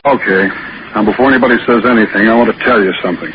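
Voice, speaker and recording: An actor playing a shrewd, matter-of-fact small-town police chief in a 1940s radio drama. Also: male voice, on the low side, steady tone, very fast, close to an everyday voice. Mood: stern, measured. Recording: muffled, noisy old radio broadcast.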